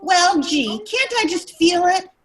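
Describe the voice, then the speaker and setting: A woman voicing an excitable, eager young boy, a nosy little brother, in an amateur radio play read. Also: extremely high pitch, slow pace, heavily acted. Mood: eager, playful. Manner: eager, exclamatory. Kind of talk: amateur 1940s radio commercial table read. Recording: noisy video call with clicks.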